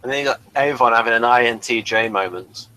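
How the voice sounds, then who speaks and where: A man with a mid-pitched, strikingly bright and forward voice hosts a casual review chat. He talks like a keen fan, halting and restarting as he goes. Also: low-quality recording. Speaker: host of a TV review show.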